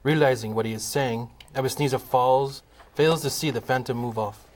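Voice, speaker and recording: Male narrator, plain steady read, faint hiss. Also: mid-range voice, normal speed. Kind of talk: reading stage directions aloud.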